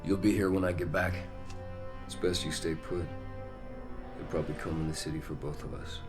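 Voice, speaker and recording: An actor playing a brooding, vengeful antihero in a video game, terse, cold and grim. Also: male voice, low-pitched, fairly even intonation, moderate pace, music in the background, natural voice. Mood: guarded. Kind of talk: video game cutscene acting and narration.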